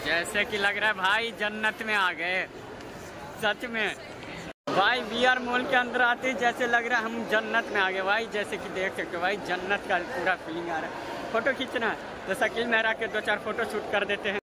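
Young male vlogger, Maithili native speaker, high voice, casual walk-and-talk, repetitive phrasing, very noisy handheld phone recording. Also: steady tone, moderate pace. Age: young adult. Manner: repetitive, informal narrating as he walks. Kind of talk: casual vlog chat to camera. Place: outdoors by roads and inside a large busy mall. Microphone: phone at arm's length. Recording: loud street and mall ambience, handheld. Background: loud urban ambience and vehicles.

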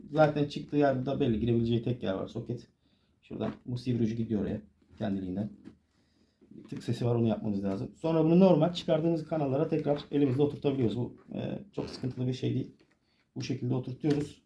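A man's voice: medium pitch, vocal fry, warm tone, a little hiss or hum. A man giving a casual hands-on tutorial, explaining informally step by step as he works. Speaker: DIY tutorial presenter.

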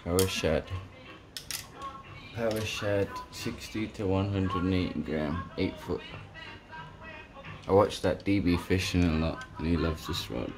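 A man's voice: low-pitched, steady tone, unhurried, long pauses. A man giving casual, sweary, slangy unboxing commentary indoors, filming on a handheld phone. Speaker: fishing vlogger. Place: indoors at a table. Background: music.

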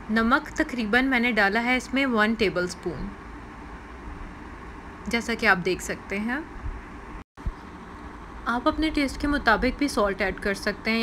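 Higher-voiced woman narrating a recipe. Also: fairly bright tone, slight background noise, poor audio. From Pakistan. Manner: explains each step, often restating in simpler words. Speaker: cooking channel host. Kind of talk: recipe voice-over.

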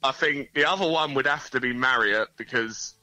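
A man's voice: medium pitch, moderate pace, bright, forceful tone, faint music behind, rough recording. A man, a band member, chatting easygoingly as a guest in an interview and thinking aloud. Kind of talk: casual interview chat.

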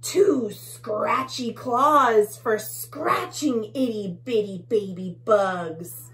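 A woman voicing a menacing bug monster who growls and speaks slowly and loudly. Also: fairly high pitch, exaggerated voice. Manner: growly, slow and loud.

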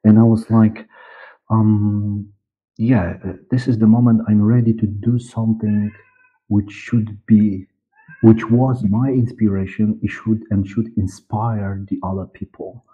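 A middle-aged man from Poland with a Polish accent, answering interview questions in halting English, repeating words as he searches. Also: lowish voice, flat delivery, breathy edge, soft background music. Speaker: interview guest, audio director and actor. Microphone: headset or desk mic on video call. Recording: muffled, band-limited video call audio.

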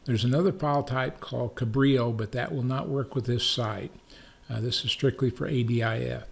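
Slow-paced American man giving a tutorial. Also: medium pitch. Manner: measured, methodical step-by-step explaining. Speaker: tutorial presenter, amateur radio operator. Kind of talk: screencast software tutorial narration.

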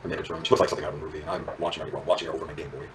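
A man playing an earnest, quietly intense police agent in naturalistic drama dialogue, speaking in a deliberate, hushed storytelling manner. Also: a bass voice, flat delivery, far from the mic, noisy background. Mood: wistful, intent. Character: quietly intense lawman recalling his youth, earnest, probing. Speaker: law enforcement agent.

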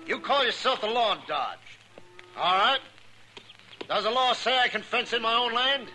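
An American actor playing a mean, grasping, stubborn rancher in a 1950s radio western, haughty and insistent. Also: male voice, extremely high pitch, moderate pace, sharp tone, soft background music, full character voice. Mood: defiant. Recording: noisy vintage 1950s radio recording.

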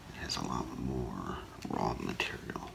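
A middle-aged man whispering in a halting, hushed ASMR role play as an appraiser, breathy and gravelly, close to the mic. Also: extremely low pitch, background noise. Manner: slow, hushed, halting, with long pauses. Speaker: ASMR performer role-playing a gemologist. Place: small curtained room indoors.

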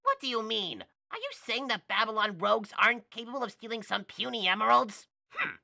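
A man's voice: high-pitched, sing-song, brisk, creaky, bright, forceful tone, dry recording, studio quality, pitched above the performer's own voice, full character voice. A man voicing a cocky, taunting hawk thief with a smug, swaggering delivery.

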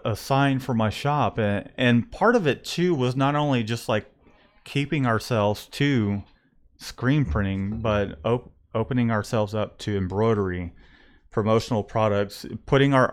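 Low-voiced man chatting at a studio mic. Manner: rambling, restarts often, thinks aloud. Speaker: screen printing shop owner and podcast host. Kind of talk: casual business chat. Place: small print shop room. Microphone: studio condenser mic close up, headphones.

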